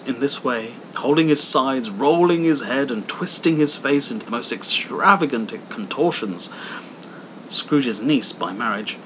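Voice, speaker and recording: A man reading literary fiction aloud as an expressive audiobook narrator. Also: medium pitch, a little hiss or hum, low-quality recording. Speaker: audiobook reader.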